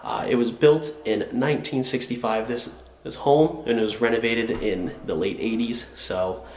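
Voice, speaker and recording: A man's voice: medium pitch, fairly even intonation, moderate pace, a little mellow, a little hiss or hum. A young man with a cold, chatting casually as he films himself walking through an abandoned house. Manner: enthusiastic, chatty, many fillers. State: sick with a cold. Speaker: urban explorer vlogger. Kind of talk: casual walkthrough narration. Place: empty abandoned house, indoors. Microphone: small camera held at arm's length.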